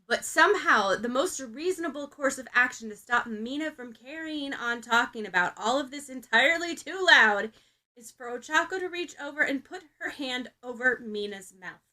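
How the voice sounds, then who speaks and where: A woman's voice: sits high, expressive, moderate pace, sharp tone, poor audio. A woman narrating scripted fiction expressively, as a podfic reader.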